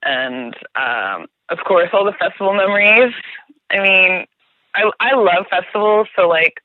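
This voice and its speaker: A woman's voice: medium pitch, lively intonation, unhurried, no echo. Chatty New Jersey woman on a tinny phone line. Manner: chatty, restarts often, says "like" a lot. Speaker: guest, tour guide. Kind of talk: casual podcast chat.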